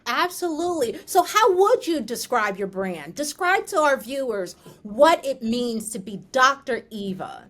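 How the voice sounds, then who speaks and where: A woman host born in the United States leads a podcast interview. She speaks with a slightly higher voice, affirming her guest and asking leading questions with pride. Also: big pitch swings, a little slow, hardly any pauses. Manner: affirming, proud, asks warm leading questions.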